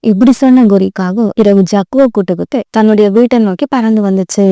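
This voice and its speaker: Female narrator for children's tales: soft-edged mellow tone, medium pitch, very dry recording. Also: quick pace, no echo. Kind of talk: scripted children's story narration.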